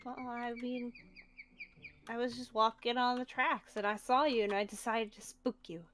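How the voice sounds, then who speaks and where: A woman's voice: on the high side, fairly even intonation, normal speed, fairly bright tone, a little hiss or hum, lightly acted. A woman playing a carefree, sneaky young survivor in an improvised game roleplay, speaking in a teasing way. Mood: casual. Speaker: roleplay player.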